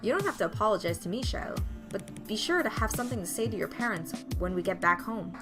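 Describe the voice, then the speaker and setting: A woman voicing a kindly father in a visual novel, gently instructive and lightly teasing toward his young son. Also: mid-range voice, expressive, fast, music in the background, close to an everyday voice. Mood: calm, chiding.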